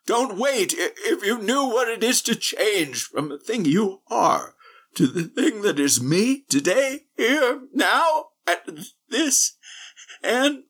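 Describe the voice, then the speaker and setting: A man's voice: sits high, expressive, slow pace, fairly bright tone, husky, voice pushed up, full character voice. A man voicing a lonely, weary old man of eighty who pleads in despair. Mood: desperate pleading.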